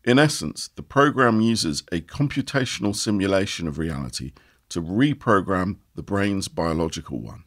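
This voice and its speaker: A man reading a first-person essay aloud as scripted narration, in an expressive, measured manner. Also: low voice. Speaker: audio article reader.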